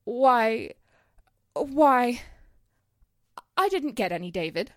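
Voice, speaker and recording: A woman's voice: fairly high pitch, very animated, extremely slow, dry recording, clean studio sound, heavily acted. A woman acting a chatty, coy small-town 1940s housewife who loves gossip, in a radio drama. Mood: defensive.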